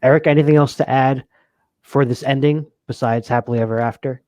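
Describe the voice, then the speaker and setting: Male co-host, average pitch. Relaxed conversational review talk, recorded dry with no room sound. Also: brisk, dry recording. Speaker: co-host of a board game review show. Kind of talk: casual chat, asking questions and signing off to viewers.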